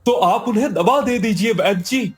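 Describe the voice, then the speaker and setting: A man's voice: sits high, normal speed, close to an everyday voice. A man playing a poor, kind-hearted young villager in a cartoon moral story, earnest and pleading. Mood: worried, urgent.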